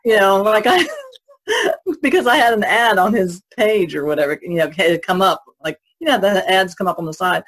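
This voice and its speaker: A woman's voice: mid-range voice, expressive, normal speed, fairly bright tone. A woman in casual conversation, telling stories in an easygoing, loosely phrased way. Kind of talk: casual chat.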